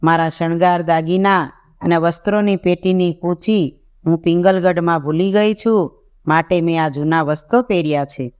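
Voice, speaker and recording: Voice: mid-range voice, fairly even intonation, normal speed, darkish tone, clear, resonant tone, natural voice. A narrator voicing a sorrowful, evasive royal woman in a folk tale, a grieving mother who pleads and recites verse. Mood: evasive, pleading.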